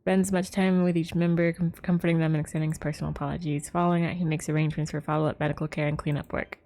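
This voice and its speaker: A woman's voice: deep voice, flat delivery, rapid-fire, warm tone. A woman streaming a game, reacting casually with giggles and gasps and reading text aloud. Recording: game music behind.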